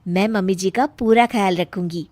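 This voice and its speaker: A woman's voice: mid-range voice, moderate pace, darkish tone, pitched below the performer's own voice, close to an everyday voice. A woman voicing a brave, caring young daughter-in-law in a cartoon, speaking in a respectful, reassuring manner.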